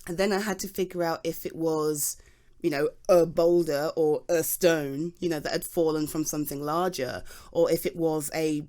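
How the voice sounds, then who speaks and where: A British woman playing a good-humoured, nerdy geologist in a conversational ASMR roleplay, chatty and halting as she explains things earnestly. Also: deep voice, steady tone, mellow, natural voice. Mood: earnest, enthusiastic. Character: good-humoured, nerdy young female geologist, flustered but enthusiastic. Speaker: audio roleplay performer. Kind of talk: ASMR roleplay, conversational one-sided dialogue.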